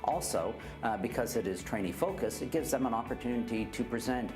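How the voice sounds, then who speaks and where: A man, an institute director, speaking in a recorded video statement in a measured, explanatory way. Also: mid-range voice, animated. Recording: pre-recorded video clip with music.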